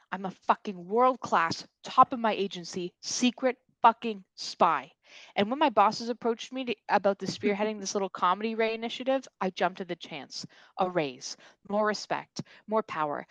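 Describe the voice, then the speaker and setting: A woman's voice: medium pitch, normal speed, a bit bright, no echo, lightly acted, rough recording. Woman acting a scornful spy villain, close dry sound. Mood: scornful, proud. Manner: mocking, profane. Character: scornful, ruthless female secret-agent traitor. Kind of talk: virtual table read of a comedy script.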